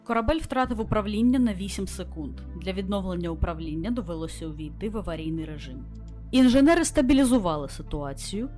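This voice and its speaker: Female starship captain read by a woman, formal and measured log entries for a visual novel, expressive pitch movement, faint music underneath. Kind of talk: scripted narration read aloud from a visual novel.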